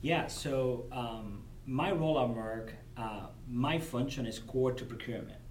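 A man from Cuba speaks with a Cuban Spanish accent, Spanish being his first language. He talks earnestly, often repeating words as he finds his way, at a middle pitch. He is recorded in a roomy space from some distance away, with faint noise behind him. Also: measured pace, a little mellow. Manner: earnest, repeats words while gathering thoughts. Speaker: guest, corporate supplier diversity director. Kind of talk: conversational podcast interview. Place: convention venue.

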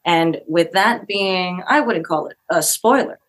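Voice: mid-range voice, a little slow, fairly bright tone. A music director speaking earnestly and with feeling as an interview guest about a theater production. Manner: earnest, heartfelt.

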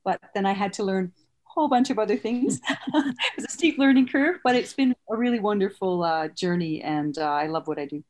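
A middle-aged woman speaks as a guest in a casual interview. Her voice sits at an average pitch. She has an easygoing, reassuring way of talking. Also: lively intonation, a little fast, a little mellow. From East Coast of North America. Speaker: guest, elementary art teacher.

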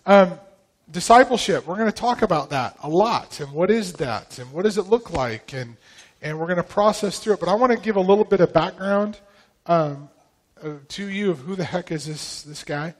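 A man from Idaho leading prayer and teaching at church, slightly raspy from a recent vocal cord infection, talking in a relaxed, loose way with many ums. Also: high voice, normal speed. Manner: relaxed, conversational, frequent ums and throat clearing. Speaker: pastor.

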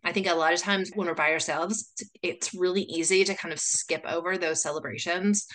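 A woman's voice: on the low side, steady tone, brisk, fairly bright tone. A woman from the United States hosting a podcast, talking casually and chattily in a rambling way with lots of filler words. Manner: chatty, rambling, full of "like" and "you know" fillers. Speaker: podcast host. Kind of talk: casual solo podcast talk.

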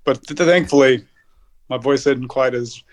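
A man in his thirties, a pharmacist guest on a podcast, answering in a relaxed, rambling way with many fillers. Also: medium pitch, measured pace. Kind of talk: casual interview answers.

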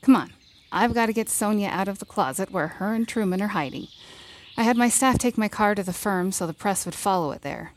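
An actress playing a brash, protective lawyer in a fiction podcast drama, blunt and commanding with slangy confidence. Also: female voice, mid-range voice, a little fast, a little hiss or hum, natural voice. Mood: confident, wry.

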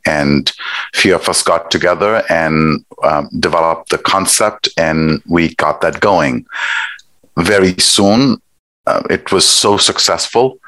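Low-voiced Nepali-raised man, measured. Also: fairly bright tone, dry recording, poor audio. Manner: thoughtful, measured, with pauses and fillers. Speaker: guest, executive director. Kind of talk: conversational interview.

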